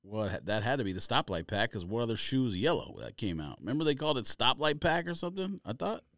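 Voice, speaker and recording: A man's voice: mid-range voice, sing-song, fast talker, fairly bright tone, dry recording. A man co-hosting a casual podcast, bantering and reading out items with offhand, jokey comments. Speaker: sneaker podcast co-host.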